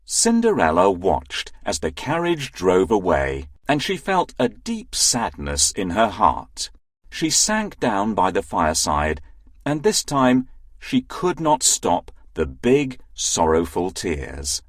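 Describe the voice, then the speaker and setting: Low, husky, expressive storyteller. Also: slow pace, no room sound, clean studio sound. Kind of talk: expressive scripted children's fairy tale narration.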